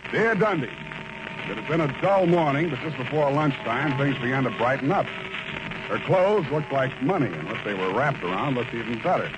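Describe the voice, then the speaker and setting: An American actor playing a wry, flirtatious hard-boiled private detective in 1940s radio drama style. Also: male voice, mid-range voice, lively intonation, normal speed, over loud music, close to an everyday voice. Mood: wry narrating. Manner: wry, sardonic. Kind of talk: 1940s radio detective acting with first-person narration. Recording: noisy old-time radio recording.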